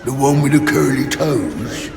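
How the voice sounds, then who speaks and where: An actor voicing a sneering, teasing undead pirate crewman in a video game, gravelly and drawling. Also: mid-range voice, measured pace. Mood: mocking. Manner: mocking, drawling. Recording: echoey reverb, noisy ambience.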